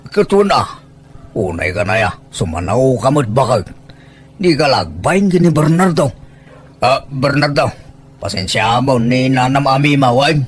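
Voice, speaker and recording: A man's voice: medium pitch, animated, a little slow, husky. An actor playing a teasing, bossy older village man who coaxes like a matchmaker in a rural audio drama. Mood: confident.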